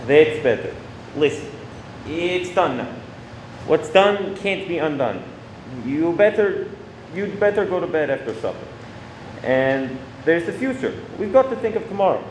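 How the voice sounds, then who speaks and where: Man acting a high-pitched, pleading, guilty husband. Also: sing-song. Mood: remorseful, weary. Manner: pleading, halting, self-justifying. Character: anxious, defensive husband pleading with his wife. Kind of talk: radio play acting. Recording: echoey room with faint noise.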